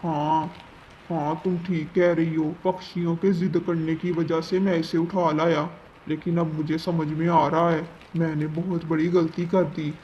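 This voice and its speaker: A man's voice: a little high, little pitch movement, unhurried, dull audio, slight background noise, close to an everyday voice. A man voicing a callous, scheming uncle bird in a Hindi cartoon, agreeable to his wife yet cold.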